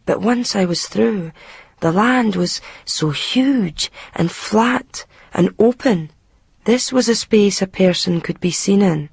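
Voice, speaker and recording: A woman's voice: medium pitch, expressive, slow, creaky, darkish tone, husky, dry recording, full character voice. A woman playing a hesitant, earnest young woman with a Scottish accent in an intimate radio drama. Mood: reflective. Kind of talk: radio drama dialogue and first-person narration.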